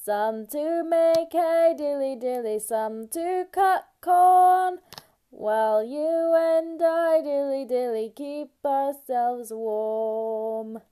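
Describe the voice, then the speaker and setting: A woman singing a traditional lullaby as a slow, simple sung verse. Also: sits high, mellow, faint music behind. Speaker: singer.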